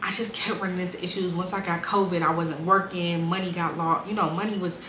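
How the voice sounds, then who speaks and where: A woman's voice: on the low side, steady tone, a little fast, echoey room, noisy background. A woman in her twenties casually and candidly telling her life story, with lots of "um" and "like". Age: 20s. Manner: candid, conversational, frequent fillers and restarts.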